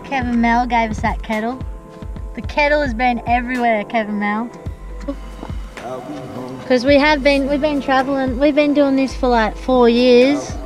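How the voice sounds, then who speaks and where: A woman's voice: high voice, steady tone, moderate pace, pauses often, music in the background. A young woman from Australia chatting casually in a travel vlog, rambling a little and tagging her sentences with "hey", recorded on an action camera inside a four-wheel-drive. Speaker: vlogger. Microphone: action camera on the dashboard.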